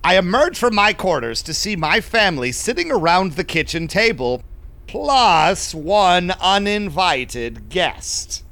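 A man's voice: high-pitched, sing-song, slow pace, sharp tone, dry recording, heavily acted. An actor playing a shady, smug vampire businessman who narrates his audio drama with sly, mock-polite theatricality. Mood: wry, scheming. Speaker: narrating lead character.

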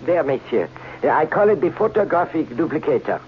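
An actor playing a timid, phony count and inventor, talking in a halting, overly formal way in a radio drama. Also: male voice, fairly high pitch, big pitch swings, a little hiss or hum. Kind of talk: 1940s radio acting. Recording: muffled old radio recording with music.

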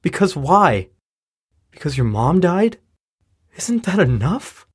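A performer with a husky voice playing a blunt, frustrated but concerned young boyfriend in a naturalistic audio drama. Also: female voice, lowish voice, very animated, takes it slowly, exaggerated voice. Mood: exasperated. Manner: casual, exasperated, blunt.

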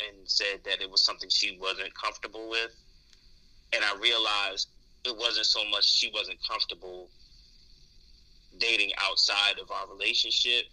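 A man's voice: on the low side, pushed and bright, low-quality recording. A man in candid podcast conversation, speaking thoughtfully and hesitantly, often repeating words as he explains. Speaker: podcast co-host discussing dating.

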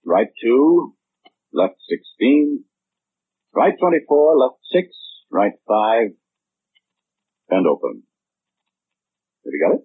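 An actor playing a fussy, condescendingly polite know-it-all man in 1940s radio style, with pompous, deliberate phrasing. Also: mid-range voice, very animated, slightly rough, exaggerated voice. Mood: brisk, condescending. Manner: pompous, deliberate, mannered phrasing with pointed pauses. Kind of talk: 1940s radio acting, first-person monologue. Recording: muffled, narrow-band 1940s radio recording.

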